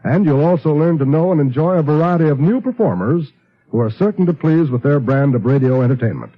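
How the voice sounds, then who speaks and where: Animated American vintage radio announcer, husky. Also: male voice, on the high side, fairly quick. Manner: polished, formal promotional delivery. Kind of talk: 1950s network radio announcing, scripted. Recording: muffled vintage radio broadcast, faint music.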